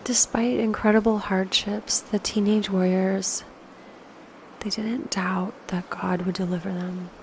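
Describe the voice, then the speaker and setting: Female meditation guide: gentle, slow delivery, frequent vocal fry, noticeable background ambience. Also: medium pitch, little pitch movement, slight background noise, poor audio.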